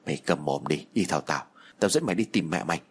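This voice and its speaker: A man voicing a surly, callous young uncle who is gruff and threatening. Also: a bass voice, lively intonation, quick pace, slight background noise, pitched below the performer's own voice. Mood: irritated.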